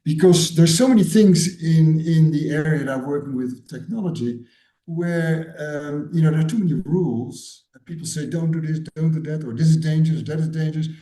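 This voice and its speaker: A man's voice: fairly high pitch, moderate pace, warm tone. A Dutch-born man in his sixties, a guest author and educator, answering interview questions thoughtfully and often repeating words as he searches for phrasing. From Netherlands. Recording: roomy echo.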